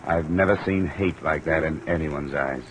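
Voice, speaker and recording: A tough, weary investigator in a 1950s radio play. His low voice is terse and sombre, with hard-boiled phrasing, set over clear dramatic music on a vintage recording. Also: normal speed, poor audio. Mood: sombre, reflective. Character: tough, weary, principled male insurance investigator. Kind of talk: 1950s radio acting and first-person narration.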